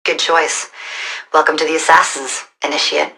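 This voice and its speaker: An actress playing a confident resistance recruiter in a video game, briefing the player in a direct, persuasive, urgent way. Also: female voice, low-pitched, flat delivery, normal speed, vocal fry, bright, forceful tone, exaggerated voice, rough recording.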